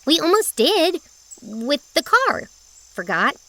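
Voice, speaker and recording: A woman playing a spirited, quick-witted young girl with a cheeky, challenging manner in a children's audio drama. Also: high voice, sing-song, sharp tone, exaggerated voice. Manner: challenging, playful.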